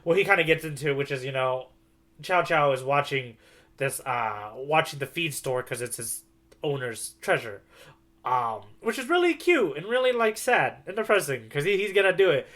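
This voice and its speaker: A man's voice: a little high, expressive, normal speed, a bit bright. A man co-hosting a podcast, chatting casually in a rambling, enthusiastic way. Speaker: podcast co-host. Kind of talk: casual chat.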